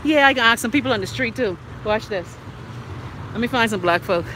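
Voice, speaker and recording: Bright-voiced Bahamian woman vlogging. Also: a little high, animated, a little fast, slight background noise, poor audio. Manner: chatty, blunt and joking. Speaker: podcast host. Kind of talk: casual vlog chat while walking. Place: outdoors on a street.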